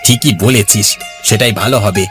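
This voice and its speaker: A man's voice: on the low side, normal speed, music in the background, lightly acted. A man voicing a friendly, chatty village neighbour in a cartoon, talking in a familiar, informal way. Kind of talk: cartoon dialogue.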